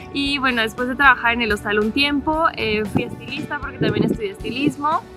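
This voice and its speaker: A woman's voice: a little high, brisk. A woman in her twenties with a Mexican Spanish accent, chatting casually to camera as a travel vlogger, with easy laughs and a loose, filler-filled storytelling style. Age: 20s. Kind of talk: casual sit-down chat to camera. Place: seated indoors facing the camera. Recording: music bed under the voice.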